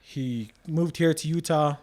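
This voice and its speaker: A man's voice: a little high, measured pace, darkish tone. A man hosting a podcast, introducing his guest in a friendly, loose way with lots of fillers. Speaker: podcast host.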